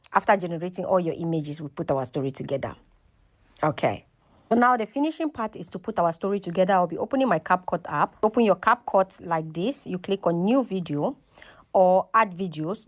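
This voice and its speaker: A woman's voice: fairly low pitch, animated, a little fast. A woman hosting a casual step-by-step tutorial, talking instructionally and often checking in with "okay" and "right". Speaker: tutorial host.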